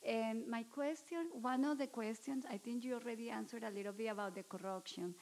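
A woman from Medellín, a native Spanish speaker with a paisa accent, asking an earnest, slightly halting question from the audience at a lecture hall microphone. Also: a little high, fairly even intonation, a little mellow, a little hiss or hum. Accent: Colombian, Medellín (paisa). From Medellín, Colombia. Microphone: standing audience microphone.

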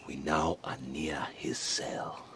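An actor playing a servile, scheming, resentful monster servant in a Shakespearean audio drama, gravelly and urgent. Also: male voice, rumbling low, steady tone, slow, fairly bright tone, whispered, heavily acted. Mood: hushed, coaxing.

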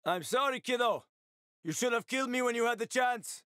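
An actor playing a wry, roguish middle-aged survivor in a video game, with a sardonic, slangy, casual way of talking. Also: male voice, extremely high pitch, a little fast, fairly bright tone, clear, resonant tone, dry recording, studio quality. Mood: strained. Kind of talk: video game character acting.

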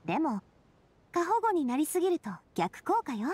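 High-voiced, confident young female ruler. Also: very animated, moderate pace, darkish tone, slight background noise. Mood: earnest, assured. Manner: casual, frank, assured speech. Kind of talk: Japanese video game voice acting.

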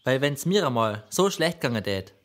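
An actor playing a kind, honest young peasant in an audio fairy tale, earnest and polite, with a Swabian accent. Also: male voice, mid-range voice, sing-song, normal speed, background noise, close to an everyday voice. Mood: kindly earnest.

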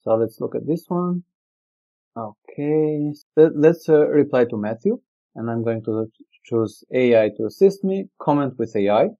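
A man whose native language is Albanian gives a relaxed screen-recorded software demo, thinking aloud with frequent fillers. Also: medium pitch, measured pace, highs cut off. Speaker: software company presenter. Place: indoor room, webcam corner.

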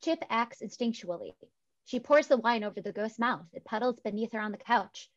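A woman's voice: a little high, expressive, a little fast. A young woman reading stage directions as scripted narration through a headset on a video call. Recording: dull, lo-fi video-call audio.

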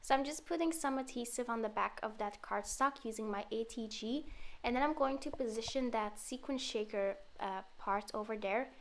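A woman narrating a card-making tutorial in a relaxed, step-by-step way, with a slightly sore throat from a cold. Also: a little high, normal speed, a little hiss or hum. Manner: relaxed step-by-step explaining with fillers. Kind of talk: voice-over craft tutorial.

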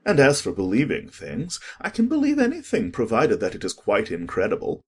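Male actor's witty cynical aristocrat, husky, animated intonation, languid drawl, dramatic audiobook. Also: mid-range voice, a little fast. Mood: amused.

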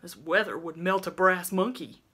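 A woman's voice: lowish voice, moderate pace, bright, forceful tone, breathy edge. A woman voicing a blunt, callous, hard-drinking old country woman in rustic dialect for a storybook. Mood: truculent. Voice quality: grunting.

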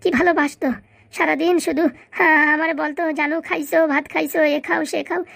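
A woman's voice: high voice, steady tone, creaky, fairly bright tone, dry recording. A woman voicing a scheming fake beggar wife in a comedy cartoon, with a melodramatic, lamenting manner. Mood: wailing, mournful. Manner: melodramatic wailing, drawn-out lamenting, gloating asides. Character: scheming fake beggar wife, wailing over sick husband.

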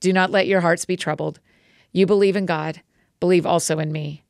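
A calm, gentle male teacher speaks with quiet authority, as a woman reads his lines. The voice sits low with a slight gravelly fry. It is a dry, close recording. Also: dry recording. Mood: solemn. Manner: measured, solemn reading.

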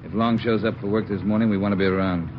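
An actor playing a brisk, authoritative police chief in a vintage radio drama. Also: male voice, on the low side, steady tone, natural voice. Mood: businesslike. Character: gruff, commanding male police chief. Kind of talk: 1950s radio acting. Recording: noisy, muffled old radio recording.

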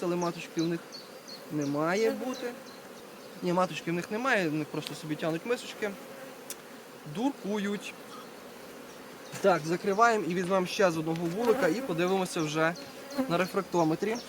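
A man giving a casual hands-on demonstration as a beekeeper, talking to viewers while he works and repeating short phrases. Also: sits high. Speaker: beekeeper presenting a video. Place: outdoors at an apiary. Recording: outdoor recording with buzzing bee noise. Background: buzzing bees and insects.

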